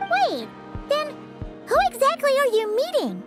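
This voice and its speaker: A woman's voice: very high-pitched, wildly swooping pitch, measured pace, loud music behind the voice, heavily acted. A woman voicing a tiny, perky, inquisitive fairy companion in a video game. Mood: curious. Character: tiny chirpy childlike floating fairy companion, curious and eager. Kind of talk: scripted video game dialogue.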